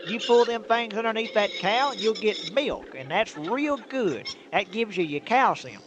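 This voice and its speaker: A man's voice: high-pitched, expressive, moderate pace, dull audio. A man playing a friendly, rambling country trucker with a Southern US accent in a comic radio drama. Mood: helpful, chatty. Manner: rambling, homespun. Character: friendly, folksy, good-natured country trucker. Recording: noisy radio drama recording.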